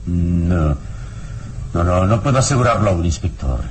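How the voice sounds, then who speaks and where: An actor playing a calm, wise adventurer hero with a solemn, deliberate delivery in a radio drama. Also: male voice, low-pitched, a little hiss or hum. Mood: calm, pondering. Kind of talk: dramatic radio narration and acting. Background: dramatic music.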